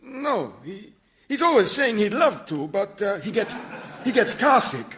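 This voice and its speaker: A naive young male zealot in a radio sitcom, with a high-pitched voice. He sounds earnest, hesitant and plaintive, as if his devotion is wobbling. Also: big pitch swings, unhurried, very noisy. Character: earnest, gullible, tearful young male would-be martyr.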